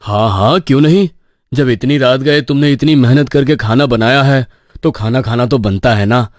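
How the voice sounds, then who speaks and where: A man's voice: medium pitch, normal speed, dry recording, clean studio sound, lightly acted. A man playing a nervous, sceptical young stationmaster from the city in a cartoon horror story. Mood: placating, wary.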